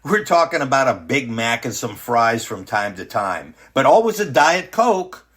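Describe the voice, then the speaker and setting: A pompous, husky, boastful tyrant. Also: male voice, mid-range voice, sing-song, measured pace, a bit bright. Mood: smug. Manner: pompous, dismissive, interrupting. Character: boastful, petulant self-crowned ruler and president for life on trial. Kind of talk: satirical radio drama acting.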